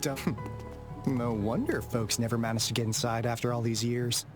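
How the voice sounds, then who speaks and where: An actor playing a roguish, curious young investigator in a video game, with a theatrical, teasing, breezy manner. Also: male voice, medium pitch, fairly even intonation, moderate pace, close to an everyday voice. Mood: breezy, wry. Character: roguish, curious young male treasure-hunting investigator, playful and cocky. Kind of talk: animated video game voice acting. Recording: game music under the voice.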